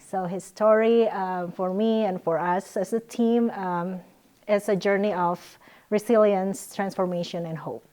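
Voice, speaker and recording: A woman's voice: medium pitch, fairly even intonation, unhurried, warm tone. A woman from Indonesia, speaking, co-hosting with a hesitant delivery full of fillers and restarts. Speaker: co-host.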